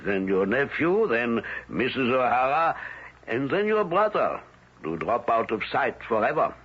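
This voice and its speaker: An actor playing a ruthless villager in an old radio drama, calm and matter-of-fact. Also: male voice, mid-range voice, a little slow, slightly rough. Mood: sinister. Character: ruthless male villager, coldly assured. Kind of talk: 1970s radio drama acting. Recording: dull old radio broadcast.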